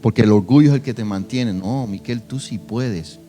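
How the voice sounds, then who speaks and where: Impassioned pastor preaching. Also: male voice, on the low side, moderate pace, faint music behind. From Cuba. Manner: impassioned, swings between soft and loud emphasis. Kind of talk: sermon with personal testimony.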